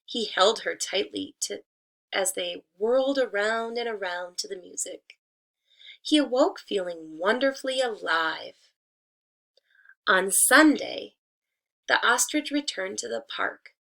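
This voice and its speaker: A woman teacher giving a read-aloud lesson for children, speaking deliberately to a young audience. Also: mid-range voice, expressive, gravelly, a bit bright, rough recording. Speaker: elementary school teacher.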